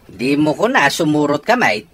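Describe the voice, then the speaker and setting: An actress playing a curt, evasive grown child in a radio drama, with an Ilocano accent. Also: female voice, low voice, sing-song, moderate pace, fairly bright tone, exaggerated voice. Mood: defensive.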